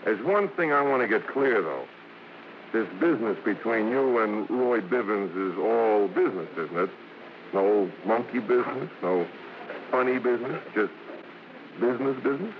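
Brash wisecracking vaudeville hoofer, swooping delivery. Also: male voice, fairly low pitch, lively intonation, moderate pace. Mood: guarded, jealous. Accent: American. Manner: breezy, slangy, teasing. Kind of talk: 1940s-50s American radio drama acting. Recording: noisy, muffled old radio broadcast.

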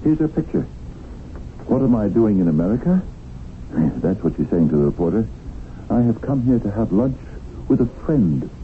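A man's voice: on the low side, very animated, normal speed, pauses often. An actor playing an ambitious, money-minded young businessman in a radio drama, hesitant and stammering in manner. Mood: intrigued. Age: young adult. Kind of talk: 1970s radio drama acting. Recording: tinny, noisy old radio broadcast.

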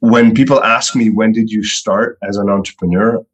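Man from Belgium, middle-aged, lowish voice, relaxed storytelling, lots of repeated words. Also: normal speed, muffled recording. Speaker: entrepreneur guest. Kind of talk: podcast interview storytelling.

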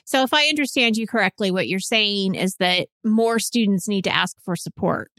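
A woman co-hosting a podcast, speaking in a clear, measured and polite way. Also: medium pitch, fairly bright tone, no room sound, clean studio sound. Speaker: podcast co-host. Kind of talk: announcement and podcast chat.

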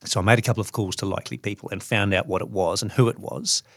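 A man's voice: lowish voice, fairly even intonation, fast, fairly bright tone, no echo, clean studio sound. A man with a New Zealand accent, speaking as a journalist. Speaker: journalist reading his own story.

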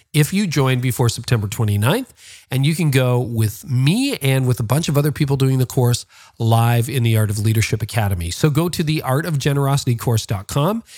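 A man's voice: mid-range voice, darkish tone. A man speaking as a podcast host, in interviews and sponsor reads.

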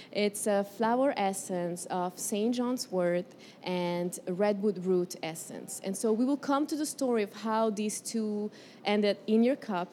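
A woman from Morocco teaching a spiritual herbalism class, leading a guided breathing exercise and speaking gently, unhurried, and often searching for words. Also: medium pitch, slight background noise.

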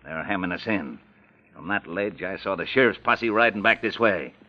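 An actor playing a ruthless, sneering outlaw leader who bullies in slangy talk in an old radio western. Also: male voice, sits low, lively intonation, moderate pace. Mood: scheming, menacing. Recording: dull, band-limited old radio recording.

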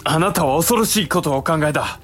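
A man's voice: a little high, animated, fast, a bit bright, soft background music. An actor playing an earnest, anxious samurai younger brother and advisor in a Japanese period audio drama, formal and deferential in his questioning. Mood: awed, grave.

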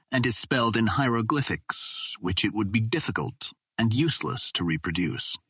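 A man narrating a first-person adventure story as a scripted audiobook, in a formal, measured literary manner. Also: fairly low pitch, a bit bright, husky, no room sound.

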